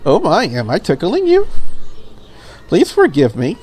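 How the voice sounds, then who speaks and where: A man's voice: high-pitched, wildly swooping pitch, unhurried, darkish tone, full character voice. A man voicing a polite, curious, slightly lonely maid in a visual novel, with formal and prim phrasing. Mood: gently chiding.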